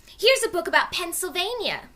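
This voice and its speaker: Storybook boy, played by a woman reader: young, cautious, protesting, bright animated voice. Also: extremely high pitch, moderate pace, pitched above the performer's own voice, full character voice. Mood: curious. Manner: earnest, protesting. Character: cautious, bookish young boy, worried older brother. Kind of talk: children's storybook read-aloud.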